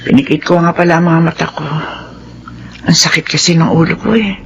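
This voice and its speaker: A woman acting a troubled boss and battered wife in a radio drama, with an expressive, emotive delivery. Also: low-pitched, a little fast, muffled recording, slight background noise. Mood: weary, evasive.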